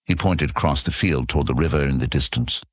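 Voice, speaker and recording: A man's voice: extremely low pitch, quick pace, husky, no room sound, clean studio sound. A man narrating a scripted fantasy story as the narrator of an audio serial.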